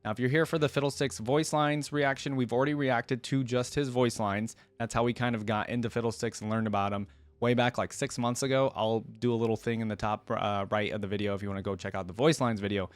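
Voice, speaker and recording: A man's voice: mid-range voice, fairly even intonation, quick pace, no echo. A man hosting a reaction video, chatting casually and reading aloud with comments of his own.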